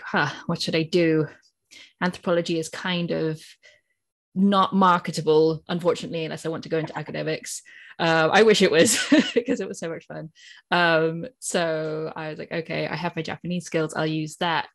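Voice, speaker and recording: A British woman with a low, deep voice tells her story in a chatty, self-deprecating way and laughs often. She speaks from a home office on a video call with a headset and desk mic. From Britain. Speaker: translator panelist. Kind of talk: casual panel talk. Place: home office lined with bookshelves. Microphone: headphones with a desk mic, video call.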